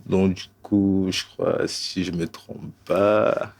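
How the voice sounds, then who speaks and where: A man's voice: low voice, little pitch movement, takes it slowly, vocal fry, a little mellow. A man in his twenties from Togo, a dancer and poet, talking hesitantly on a panel with many fillers. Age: 20s. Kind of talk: relaxed panel chat with a recited poem.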